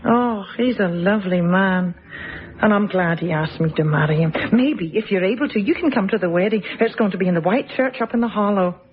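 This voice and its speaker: An actress playing a kind, cheerful young schoolteacher in a radio drama, with expressive delivery. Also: female voice, medium pitch, fairly quick, narrow, tinny audio, close to an everyday voice.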